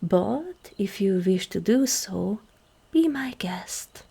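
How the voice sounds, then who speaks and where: A woman playing a regal, seductive ancient demon queen in an ASMR roleplay, measured and commanding, with a husky, breathy, creaky voice that often softens toward a whisper. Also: mid-range voice, lively intonation, heavily acted. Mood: languid, intrigued. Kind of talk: ASMR roleplay, scripted monologue to the listener.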